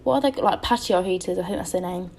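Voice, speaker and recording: A woman's voice: lowish voice, quick pace, a little mellow. Tired, crackly-voiced woman rambling. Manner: rambling, chatty, self-correcting with many ums. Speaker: game building YouTuber. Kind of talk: casual voice-over over gameplay.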